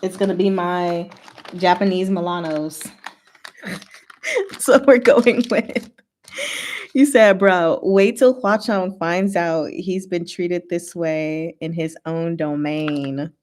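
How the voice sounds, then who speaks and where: Slow-paced woman livestream host. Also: lowish voice, a little mellow. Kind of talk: casual livestream chat with fanfiction reading.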